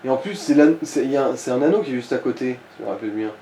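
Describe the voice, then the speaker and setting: A man's voice: mid-range voice, expressive, very fast, mellow. A man chatting casually as he co-commentates a video game, explaining things and slipping in joking asides. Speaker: let's play co-commentator. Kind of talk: casual gaming chat. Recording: roomy echo, background noise.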